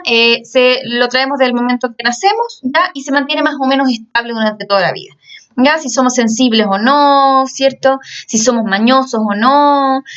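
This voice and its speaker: A woman's voice: high voice, a bit bright, far from the mic, dull audio. A woman teaching a class, explaining step by step and checking in often with her students. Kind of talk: recorded class lecture.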